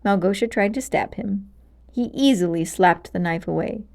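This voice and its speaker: A woman narrating a children's storybook aloud in an expressive, dramatic and clearly paced way. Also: mid-range voice, normal speed.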